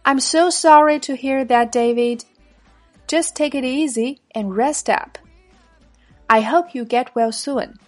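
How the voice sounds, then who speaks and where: Woman reading speaker B in a lesson dialogue, clear, a bit high, music faint underneath. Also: slow pace. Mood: plain. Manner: plain, careful read-aloud of lesson lines. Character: everyday adult speaker B in a practice dialogue. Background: faint background music.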